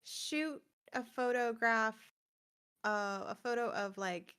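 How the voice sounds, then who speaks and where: A woman's voice: on the high side, takes it slowly, pushed and bright, clear, resonant tone. A woman co-hosting a games show, moving between casual chat and scripted review narration. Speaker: show co-host and game reviewer.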